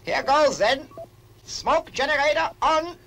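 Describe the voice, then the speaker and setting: Eager, high-voiced young boy. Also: lively intonation, slow, fairly bright tone, slight background noise, heavily acted. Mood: eager, puzzled. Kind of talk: 1960s puppet series acting.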